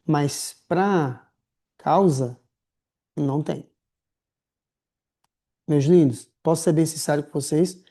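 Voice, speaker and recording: A man teaching a writing class in a lively, slangy, chatty way, calling his students affectionate names. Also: fairly high pitch, slow pace, long pauses. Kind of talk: casual recorded lecture.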